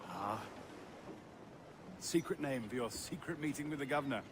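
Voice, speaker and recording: An actor playing a polite, hesitant gentleman merchant who is a husband and father, in a game cutscene. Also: medium pitch, lively intonation, moderate pace, background noise, natural voice. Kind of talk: video game cutscene acting.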